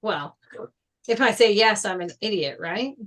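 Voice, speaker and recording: A woman's voice: medium pitch, animated, measured pace, a bit bright. A woman reading a proud, protective and blunt teenage boy athlete at a table read of a film script. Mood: scornful. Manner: confrontational, blunt.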